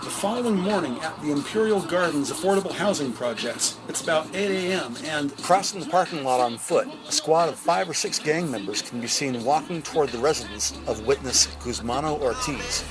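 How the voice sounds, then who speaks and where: A man's voice: a little high, animated, a little fast, poor audio. A man narrating a scripted audio drama with detailed, descriptive storytelling.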